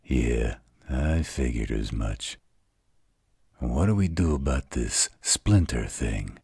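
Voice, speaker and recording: Man voicing a very deep, husky gruff werewolf. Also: expressive, slow pace, a little mellow, no echo, clean studio sound, heavily acted. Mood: gruff, caring. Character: gruff, protective male werewolf mate and father figure. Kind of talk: roleplay audio drama acting.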